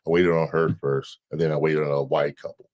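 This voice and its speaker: A man with an extremely low, deep voice talks casually as a podcast guest. He speaks haltingly, restarting and repeating words as he thinks aloud. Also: little pitch movement, darkish tone, muffled recording. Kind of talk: casual conversation.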